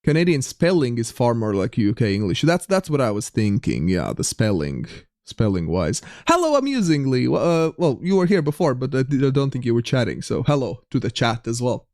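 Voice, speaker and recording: A man's voice: mid-range voice, very animated, a little fast, hardly any pauses, a little mellow, no room sound, clean studio sound. A young man with a light Latvian accent, streaming a geography game and chatting casually with viewers while reading the chat aloud. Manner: chatty, reads chat aloud, frequent restarts.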